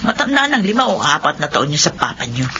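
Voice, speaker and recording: A middle-aged woman playing a worried, gently pessimistic mother in a Filipino radio drama, emotive and hesitant. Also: low voice, big pitch swings, fast, exaggerated voice. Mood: sad, confiding. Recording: dull lo-fi radio, background noise.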